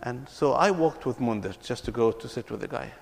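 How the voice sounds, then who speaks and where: A man's voice: medium pitch, lively intonation, brisk, vocal fry. A man from Palestine, telling stories earnestly to an audience and often repeating his words. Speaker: ministry speaker on reconciliation. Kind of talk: speech to an audience. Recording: long hall reverb.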